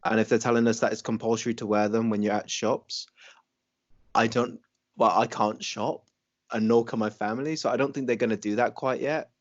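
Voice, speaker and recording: A man's voice: lowish voice, fairly even intonation, fairly quick. Rambling young Welsh man, dull sound. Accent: mixed English, American and Welsh. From Wales. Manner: rambling, full of ums and restarts. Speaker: podcast co-host. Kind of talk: casual chat.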